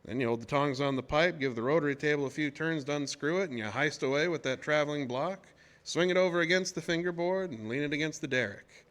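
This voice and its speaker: An actor playing a plain-spoken, shaken oil-rig worker who tells his tale in a folksy, halting way in a radio horror drama. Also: a little high, fairly bright tone, natural voice. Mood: matter-of-fact. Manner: folksy, colloquial, halting storytelling.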